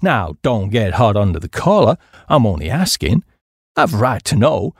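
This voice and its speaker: A man's voice: lowish voice, sing-song, moderate pace, husky, no room sound, clean studio sound, voice pushed down, heavily acted. A man voicing a stout, suspicious American small-town businessman in an audiobook, blunt and insistent. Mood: challenging.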